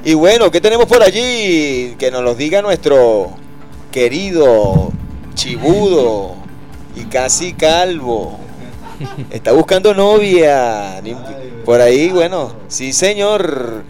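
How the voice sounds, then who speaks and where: A man's voice: fairly high pitch, big pitch swings, takes it slowly, a little mellow, soft background music. A man hosting a casual radio talk show, chatty and playfully joking. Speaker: radio host.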